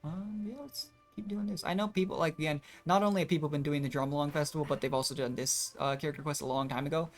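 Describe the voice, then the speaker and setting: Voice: mid-range voice, steady tone, quick pace, few pauses. Rambling game streamer chatting over faint music. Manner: rambling, reflective, thinking aloud. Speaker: video game livestreamer. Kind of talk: casual chat and commentary while gaming.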